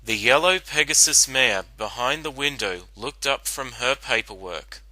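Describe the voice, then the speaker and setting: A man's voice: mid-range voice, lively intonation, a little slow, bright, forceful tone, dry recording, rough recording. A man giving a scripted dramatic reading as the narrator of a story.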